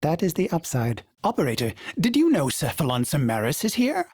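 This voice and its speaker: A man's voice: a little fast, husky, no room sound, studio quality, heavily acted. A man voicing a devoted, fussy male ship AI who speaks formally and calls himself by name in the third person. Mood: earnest. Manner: sudden jumps in pitch and speed, fussy and excitable. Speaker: game character voice. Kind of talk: video game voice lines.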